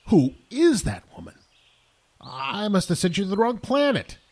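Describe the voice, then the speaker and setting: A man playing a brainy, earnest inventor in an audio drama, with a husky voice. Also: high-pitched, huge pitch swings, normal speed, a little mellow, heavily acted. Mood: shocked. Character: brainy, earnest male inventor, proud of his device.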